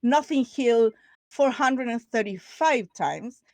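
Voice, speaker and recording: A woman's voice: a little high, expressive, takes it slowly, darkish tone, no room sound. A woman from Chile, Spanish her first language, runs a casual panel talk over a video call. Her voice is recorded dry and close, with no room around it. She talks in eager, laughing bursts and keeps restarting her thoughts. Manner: chatty, laughs often, restarts mid-sentence.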